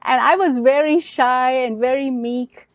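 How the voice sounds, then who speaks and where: A middle-aged woman from India, a podcast guest telling her story in an animated way and acting out the people she quotes. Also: high voice, unhurried, no echo. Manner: animated storyteller who acts out quoted people, laughs often. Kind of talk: storytelling interview.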